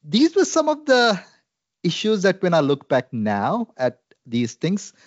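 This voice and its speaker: A man's voice: high-pitched, wildly swooping pitch, a little slow, no room sound, muffled recording. A man from India with an Indian English accent, a Salvation Army minister talking earnestly and reflectively, with frequent fillers. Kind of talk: conversational interview.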